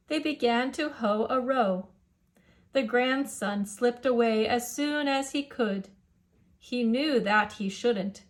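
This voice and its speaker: High-voiced, slow-paced woman storyteller. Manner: rhythmic rhyming delivery. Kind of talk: children's picture book read-aloud narration.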